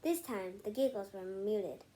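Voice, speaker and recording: A woman's voice: mid-range voice, warm tone, rough recording. A female voice reading a picture book aloud, stumbling over and repeating words, with casual asides. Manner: halting, stumbles and rereads words. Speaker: read-aloud storyteller. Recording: home recording with background noise.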